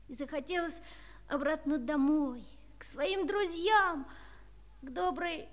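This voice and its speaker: A woman's voice: sits high, long reverb tail. An actress playing a mischievous little boy who is the number zero, with theatrical childlike delivery in a children's audio play.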